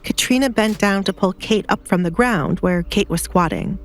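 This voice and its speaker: A woman's voice: mid-range voice, normal speed. A woman narrator reading a scripted romantic drama in a storytelling style.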